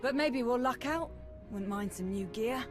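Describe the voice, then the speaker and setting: A woman's voice: on the high side, expressive, normal speed, lightly acted. An actress playing a wry, battle-hardened female major in a video game, with dry, informal, clipped delivery. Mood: wry, businesslike. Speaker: military officer character in a video game. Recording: loud game music behind. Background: loud music.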